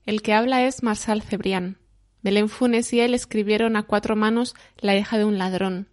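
A woman's voice: mid-range voice, darkish tone. A woman hosting a film programme, narrating and putting questions to guests. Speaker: programme host and interviewer. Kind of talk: scripted narration and interview questions.

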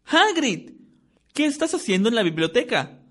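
A man's voice: very high voice, big pitch swings, moderate pace, fairly bright tone, pitched above the performer's own voice, exaggerated voice. A man reading a story, voicing an emphatic, boastful teenage schoolboy. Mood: surprised.

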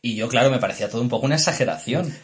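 A man's voice: mid-range voice, rapid-fire. Casting: young man from Extremadura, podcast co-host, chatty, laughs a lot, plain middle voice. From Extremadura, Spain. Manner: chatty, laughs often, leads the questions. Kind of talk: casual film chat.

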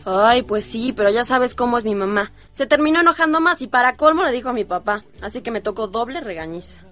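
A woman's voice: on the high side, lively intonation, quick pace, fairly bright tone, soft background music. An actress playing a chatty, gossipy teenage girl with a Mexican accent, confiding colloquially in a radio soap. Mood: exasperated. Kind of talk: radio soap opera acting.

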